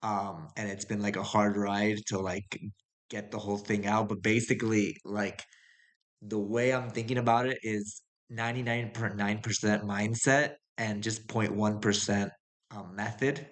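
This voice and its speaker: A man's voice: fairly low pitch, little pitch movement, a little slow. A young man from the United States telling his life story in a casual, rambling way, with many fillers and restarts. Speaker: vlogger. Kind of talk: casual personal monologue.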